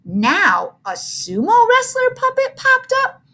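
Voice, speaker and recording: High-pitched, animated woman reading aloud. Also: slow. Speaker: storybook reader. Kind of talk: expressive read-aloud narration of a children's book.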